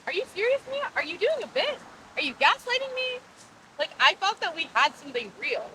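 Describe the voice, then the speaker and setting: A skeptical young woman on a date played by an actress speaks high and with lively swings of pitch. She is casual and comic, easily exasperated, recorded in noisy surroundings. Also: a little fast, exaggerated voice. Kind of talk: acted comedy sketch dialogue. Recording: noisy outdoor location sound.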